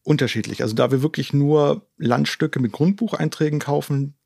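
A man's voice: on the high side, moderate pace, vocal fry, darkish tone, slightly rough, dry recording, studio quality. A man from Germany explaining things in detail as an interview guest, often checking in with a short tag question. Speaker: conservation organisation co-founder, interview guest.

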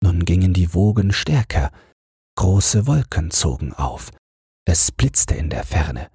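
A man narrates a fairy-tale audiobook meant for bedtime in a very low, heavily husky voice. He takes his time with an unhurried, soothing delivery. The sound is dry, with no room echo. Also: big pitch swings, dark tone.

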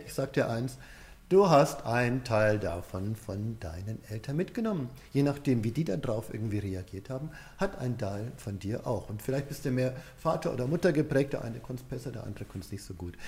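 Mellow-voiced man giving a coaching talk. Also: medium pitch. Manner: conversational, addresses the listener directly with rhetorical questions. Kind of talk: explanatory coaching talk, informal and direct address.

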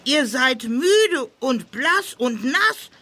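An actress playing a frightened, hard-of-hearing old woman in a children's audio drama, speaking haltingly on a noisy clip. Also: high voice, sing-song, sharp tone, exaggerated voice. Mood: wary, hesitant.

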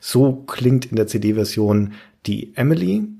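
A man's voice: mid-range voice, lively intonation, takes it slowly, gravelly, dark tone, husky. A man hosting a podcast, discussing video games analytically and explaining in long, articulate sentences. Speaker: podcast host.

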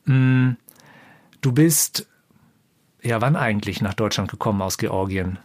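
A man leading an interview in German, speaking in a measured, informative way. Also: lowish voice, lively intonation, a little mellow. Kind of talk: interview conversation.

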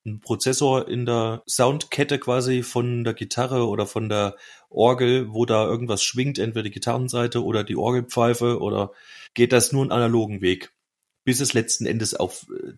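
A man's voice: mid-range voice, steady tone, moderate pace. A man co-hosting a casual music podcast, explaining things in colloquial language. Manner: colloquial, explanatory, with tag questions. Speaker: podcast co-host.

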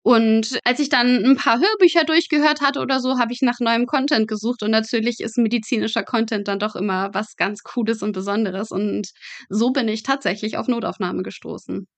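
A woman's voice: on the high side, fairly quick, no echo. A young woman, a guest telling stories in a casual, chatty and emphatic interview style. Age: young adult. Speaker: podcast guest, medical student working in pathology.

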